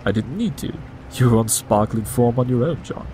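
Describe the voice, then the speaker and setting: An actor playing a brilliant, smug pony detective, speaking in a measured, condescending manner in an audio drama. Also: male voice, medium pitch, animated, dark tone, slight background noise, close to an everyday voice. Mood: smugly pleased.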